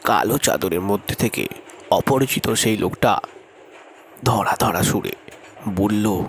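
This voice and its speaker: A man narrating a horror story in a measured storytelling manner. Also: slight background noise. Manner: measured storytelling, raises his pitch for the young hero's lines.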